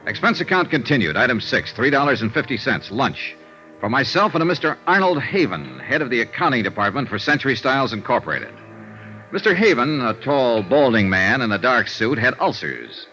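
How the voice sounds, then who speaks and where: An actor playing a wry, persistent insurance investigator in a vintage radio noir drama, clipped and probing. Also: male voice, medium pitch, big pitch swings, brisk, sharp tone. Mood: dry, matter-of-fact. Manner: clipped expense-account narration, probing questioning. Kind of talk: 1950s radio noir acting, narration and dialogue. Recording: vintage radio recording, dull, music behind.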